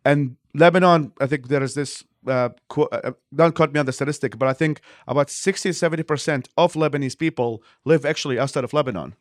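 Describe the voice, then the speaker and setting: Fast-talking man from Iraq, interview guest. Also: a little high, no echo, studio quality. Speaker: interview guest, nonprofit leader. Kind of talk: interview answers, explanatory.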